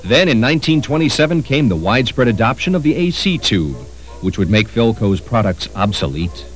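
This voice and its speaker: A man narrates a history documentary in a lively, varied delivery. His pitch moves around a good deal, and music plays behind him. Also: brisk.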